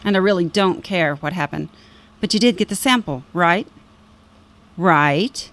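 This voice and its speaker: A woman's voice: lowish voice, expressive, a little slow, a bit bright. A woman playing a sly, prying woman who trades in secrets, teasing and insinuating, in a radio drama. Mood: sly, needling.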